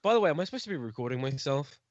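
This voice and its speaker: A man's voice: fairly high pitch, sing-song, rapid-fire, no echo. A man with a British accent chatting casually on a voice call in a blunt, crude, sweary manner. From United Kingdom. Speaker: podcast guest, editor. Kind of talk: casual chat. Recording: muffled, dry voice chat call.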